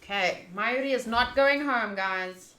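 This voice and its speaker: A woman's voice: a little high, measured pace, bright, forceful tone, roomy sound. A woman hosting a game live stream, chatting casually in a playful, teasing and informal way. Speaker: live streamer hosting a visual novel playthrough. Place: indoors, home room.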